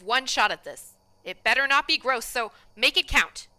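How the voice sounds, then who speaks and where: Radio drama: actress as curt, guarded female manager who thinks herself above the staff, very bright edge, expressive highish voice. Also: moderate pace, full character voice. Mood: exasperated. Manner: defensive, curt. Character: exasperated, superior-minded woman restaurant manager, guarded. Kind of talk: radio play acting.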